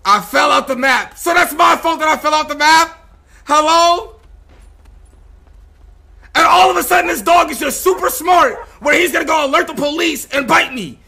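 A man's voice: extremely high pitch, normal speed, gravelly, sharp tone, shouting. An American man reacting to a game on a livestream, incredulous and profane, often repeating himself. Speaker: game streamer. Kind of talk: livestream gameplay reaction, roleplay.

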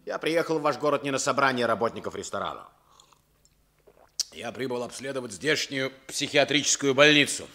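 Soviet radio drama: imperious male psychiatrist, theatrical and grand, sharp forceful voice with lively pitch. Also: a little fast. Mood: curt, imperious. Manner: pompous, theatrical, commanding. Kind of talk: Soviet radio stage-play acting.

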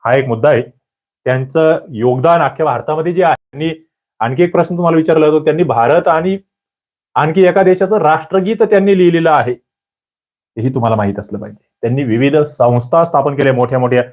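A man's voice: on the high side, quick pace, a little mellow. A man with a slightly raised voice runs a quiz-style history lesson. He coaxes his students along and says the key answers twice. The sound is dull and lo-fi. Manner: coaxing, repeats key answers. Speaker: exam coaching teacher.

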